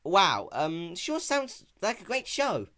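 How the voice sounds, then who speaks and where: A man's voice: high-pitched, huge pitch swings, slow, bright, forceful tone, pitched above the performer's own voice, full character voice. A man voicing a nervous, evasive little boy dragon in a story reading, with a hesitant manner. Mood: awkward, innocent. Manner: hesitant, filler-laden.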